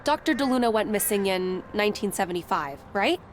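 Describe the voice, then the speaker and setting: A woman's voice: medium pitch, animated, fairly quick, a little hiss or hum, lightly acted. An actress playing a polite, inquisitive female newspaper reporter in a video game, speaking in a probing way. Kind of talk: video game character dialogue.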